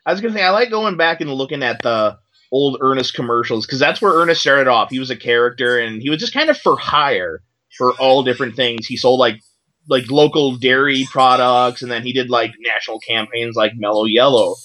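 A man co-hosting a casual movie commentary podcast, joking and quick to laugh. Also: mid-range voice, bright, forceful tone. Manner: joking, quick to laugh, does silly voices. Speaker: podcast co-host.

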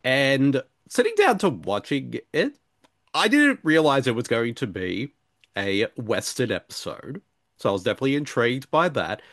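A male podcast co-host talks casually and jokingly, rambling through his points. His medium-pitched voice is very animated, recorded dry. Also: normal speed, no echo. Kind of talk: casual discussion chat.

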